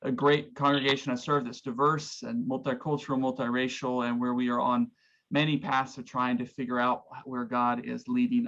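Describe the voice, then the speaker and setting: A man from Oklahoma, a pastor, giving earnest, reflective and somewhat halting opening remarks over a video call. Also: mid-range voice, creaky, a bit bright. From Oklahoma, United States. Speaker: pastor, guest speaker. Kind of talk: informal opening remarks of a talk. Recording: dull, lo-fi video call audio.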